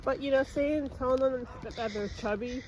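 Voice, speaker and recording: A woman chatting casually, often answering in brief, low-key replies. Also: moderate pace, a little hiss or hum, poor audio. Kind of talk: casual chat with a child while walking.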